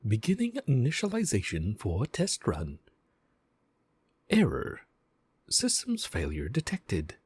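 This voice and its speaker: A man voicing a gentle, devoted sentient mech AI in a slow, measured audio roleplay, speaking directly to the pilot. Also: on the low side, husky, no echo, exaggerated voice. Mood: formal, announcing. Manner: measured, deliberate, reassuring, with pauses. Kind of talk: slow scripted audio roleplay, addressing the listener.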